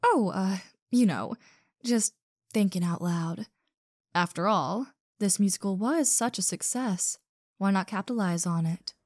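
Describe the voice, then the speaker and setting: A woman's voice: mid-range voice, unhurried, darkish tone, no echo, studio quality, natural voice. A woman playing a vain, scheming diva actress with a haughty, theatrical manner in an audio drama. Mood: evasive. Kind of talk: audio roleplay drama acting.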